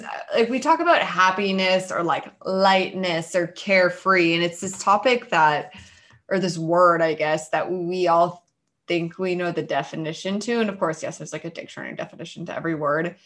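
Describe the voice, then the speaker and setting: Lowish woman posing questions to a group. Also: fairly bright tone. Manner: curious, thinking aloud. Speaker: podcast host leading the group. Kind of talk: casual group conversation.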